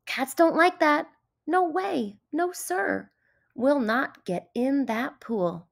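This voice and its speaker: A woman's voice: high voice, takes it slowly, gravelly, pitched above the performer's own voice, exaggerated voice. A woman voicing a finicky, bossy, indignant cat in a children's picture book, with an emphatic, dramatic delivery.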